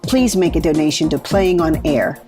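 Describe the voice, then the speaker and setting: A woman's voice: deep voice, moderate pace. A woman reading a scripted donation appeal. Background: music.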